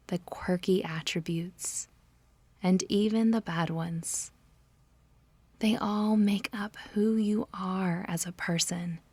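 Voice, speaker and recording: Female meditation narrator: slightly low voice, unhurried pacing, gentle breathiness, calming scripted instruction with measured pauses. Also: no echo.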